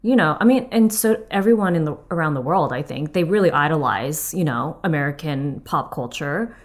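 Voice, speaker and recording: Low-voiced young woman, halting and chatty. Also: lively intonation. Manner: hesitant, many "like" and "um" fillers. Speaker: guest. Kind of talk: casual chat.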